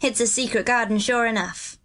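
A storybook country lad of twelve played by a woman, cheerful and earthy, with a broad Yorkshire accent. The voice sits fairly high and talks in hearty, good-natured rustic dialect. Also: a little fast, fairly bright tone. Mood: musing.

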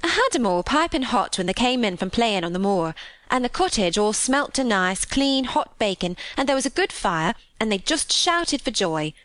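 A woman reader puts on a young Yorkshire housemaid for an audiobook. The girl is cheerful and excitable, rambling on in homely dialect. Her voice is lifted and very lively, in a dry recording. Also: a little high, moderate pace, no echo, pitched above the performer's own voice. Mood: delighted, cheerful. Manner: eager, rambling, folksy dialect storytelling.

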